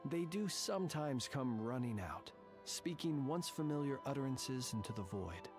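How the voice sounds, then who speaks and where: An actor playing a solemn, formal and courteous guardian of haunted tombs in a video game. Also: male voice, mid-range voice, expressive, over music, lightly acted. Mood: solemn, measured. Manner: formal, measured, ornate courteous speech. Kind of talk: video game character dialogue.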